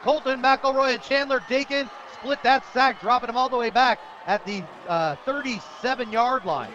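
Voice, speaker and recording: High-pitched male football play-by-play announcer. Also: normal speed, dull audio. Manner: energetic, rises to shouts on big plays. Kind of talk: live football play-by-play commentary. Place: outdoor high school football stadium broadcast position. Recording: loud crowd and noisy outdoor stadium. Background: crowd noise.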